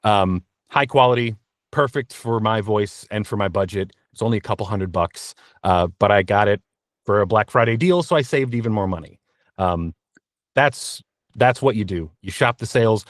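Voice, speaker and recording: A man's voice: lowish voice, expressive, normal speed, no echo. Male interview guest, conversational and practical, explains things plainly, close dry recording. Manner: practical, explanatory advice-giving.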